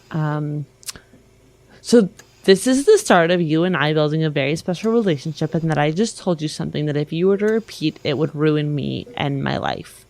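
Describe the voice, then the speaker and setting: A woman playing a persuasive adventurer in a tabletop game, speaking in a measured, sincere way. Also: low-pitched, a little mellow. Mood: careful, confiding. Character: persuasive, careful woman adventurer making earnest promises.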